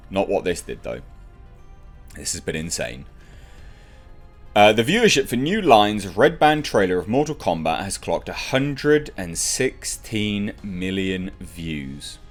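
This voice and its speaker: Guy doing hyped movie news chat, lowish voice that swings all over, music underneath. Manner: enthusiastic, emphatic, repeats phrases for emphasis. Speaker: YouTube film news reviewer. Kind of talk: casual movie news commentary.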